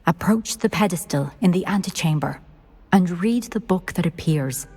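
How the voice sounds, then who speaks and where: A woman's voice: lowish voice, lively intonation, moderate pace, warm tone. An actress playing a composed, enigmatic female wizard mentor in a video game, speaking solemnly and instructively. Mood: composed, cryptic.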